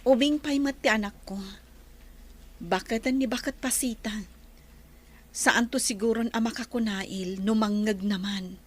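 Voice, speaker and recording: A woman's voice: a little high, a little slow, long pauses. A woman playing an earnest, plaintive young mother in an Ilocano Filipino radio drama. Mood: calm, resolute.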